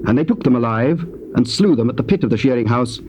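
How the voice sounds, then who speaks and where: A man narrating dramatized scripture in a solemn, measured storytelling manner. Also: mid-range voice, animated. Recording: dull, noisy old cassette tape.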